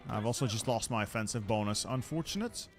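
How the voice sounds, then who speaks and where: A man's voice: mid-range voice, lively intonation, fast talker, soft background music. A man hosting a gaming channel, giving wry, playful live commentary on a strategy game, his voice slightly worn. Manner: wry, playful, jokey asides.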